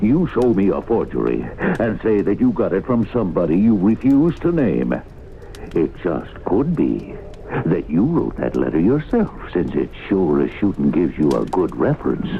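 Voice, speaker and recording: An actor playing a shrewd, suspicious small-town mayor with a folksy, challenging drawl in an old radio drama. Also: male voice, deep voice, huge pitch swings, normal speed, vocal fry, exaggerated voice. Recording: tinny, noisy old radio broadcast.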